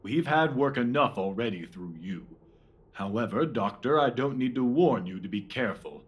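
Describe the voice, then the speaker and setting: Man playing a pompous, husky Victorian policeman. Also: medium pitch, lively intonation, normal speed. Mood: officious. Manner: officious, pompous. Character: pompous Victorian police detective man, self-satisfied, officious. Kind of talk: dramatised mystery audio drama.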